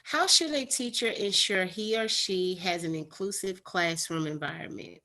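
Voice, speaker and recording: A woman's voice: medium pitch, moderate pace, sharp tone. A woman teacher moderating a panel, upbeat and eager. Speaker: moderator, fifth grade teacher.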